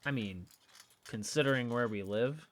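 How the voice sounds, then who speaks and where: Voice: medium pitch, moderate pace, lightly acted. An actor in an audio drama playing a casual, curious young friend who talks in a questioning, sometimes hesitant way. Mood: dry, casual. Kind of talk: naturalistic audio fiction dialogue.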